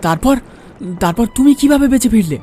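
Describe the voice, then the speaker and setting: A man playing a frightened, indignant gentleman passenger in a ghost story, stammering his demanding questions. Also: very high-pitched, expressive, a little fast, voice pushed up. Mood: alarmed, questioning. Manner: agitated, stammering repeated questions. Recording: music under the voice.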